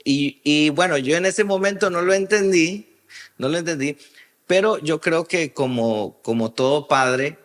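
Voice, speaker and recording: A man from Guatemala, an interview guest telling his story in a halting way, often repeating the starts of phrases. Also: on the high side. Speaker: guest, music producer and worship singer. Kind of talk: casual interview, personal storytelling.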